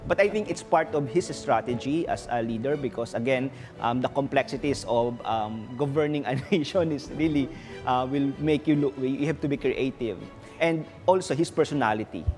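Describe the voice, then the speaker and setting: Halting Filipino man, historian guest. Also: medium pitch, over music. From Philippines. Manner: halting, many ums and restarts. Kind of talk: interview answers.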